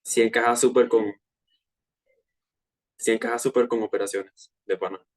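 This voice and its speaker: A young Venezuelan man in his twenties talks through a personal finance session for fellow students. His voice sits at an ordinary middle pitch, and his delivery is loose and conversational, with plenty of hesitant fillers and casual slang. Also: moderate pace. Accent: Venezuelan Spanish. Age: 20s. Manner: relaxed and chatty, with frequent filler hesitations and slang. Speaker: student presenter of a finance workshop. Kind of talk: casual online presentation to peers.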